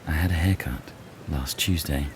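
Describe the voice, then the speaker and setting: A very deep deadpan bloke. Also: male voice, moderate pace. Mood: dry. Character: deep-voiced grumpy bloke, dry know-it-all. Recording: noisy, overdriven amateur audio.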